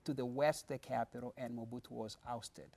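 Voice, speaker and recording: A man's voice: mid-range voice, steady tone, normal speed, gravelly, darkish tone. A middle-aged man from the Democratic Republic of the Congo, a pastor, answering studio interview questions in an earnest, explanatory way, with many fillers and restarts.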